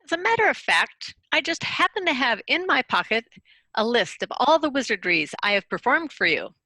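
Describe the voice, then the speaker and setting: A woman acting a small, thin royal wizard, boastful and theatrical. The voice is high and bright, swinging animatedly with a dry, close sound. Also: sing-song, moderate pace, no room sound, heavily acted, low-quality recording. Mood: self-important. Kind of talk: readers theater story acting.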